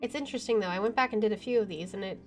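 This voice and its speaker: A woman streaming a horror visual novel, reading it aloud with animated commentary. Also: mid-range voice, racing. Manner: animated, laughs between lines. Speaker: let's-play streamer. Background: game music.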